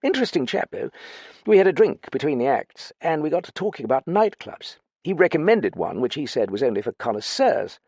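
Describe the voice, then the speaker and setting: A male narrator acts out a plump, naive young gentleman in a storybook. The voice is pitched high and swings up and down. He hesitates and stammers, sounding sheepish and a little foolish. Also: big pitch swings, brisk, husky, dry recording, full character voice. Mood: chatty, awkward. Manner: hesitant, stammering upper-class slang.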